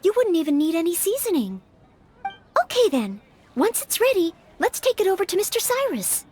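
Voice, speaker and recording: A woman's voice: very high voice, big pitch swings, moderate pace, a little mellow. An actress playing an earnest, polite young maid-knight woman in an anime-style video game, careful and sincere. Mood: thoughtful.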